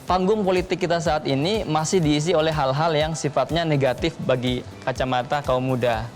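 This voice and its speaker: Measured young man making his points. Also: fairly high pitch, faint music behind. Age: young adult. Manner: measured, lays out points in order. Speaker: talk show guest. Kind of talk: studio panel discussion.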